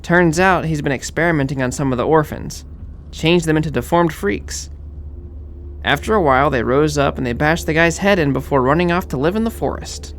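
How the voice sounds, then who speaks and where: An eager, dorky young pledge acted by a man spins a spooky legend in a fairly high male voice. He sounds earnest and ominous, with clear ambient noise behind him in this audio horror drama. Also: a little fast, fairly bright tone.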